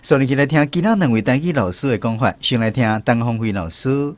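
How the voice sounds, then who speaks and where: A man's voice: medium pitch, lively intonation, brisk, no echo. A man with a Taiwanese accent presenting a language segment as a radio host. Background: music bed.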